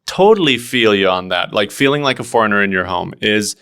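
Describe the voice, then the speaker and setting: A man's voice: lowish voice, lively intonation, brisk, fairly bright tone, smooth. A young man from Oregon talking casually and earnestly as a guest comedian, with lots of fillers and restarts. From Oregon, United States. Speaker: stand-up comedian, podcast guest. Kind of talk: casual conversation.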